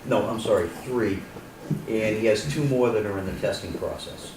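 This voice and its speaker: A man's voice: fairly low pitch, normal speed, background noise. A man giving plain facts at a public meeting. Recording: echoey room from far off.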